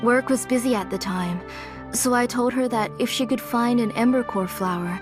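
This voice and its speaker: An actress playing a gentle, sorrowful middle-aged mother in a game, speaking softly, with game music behind her. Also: fairly high pitch, moderate pace, close to an everyday voice. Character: gentle, guilt-ridden mother and former smith. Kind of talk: game dialogue. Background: soft game music.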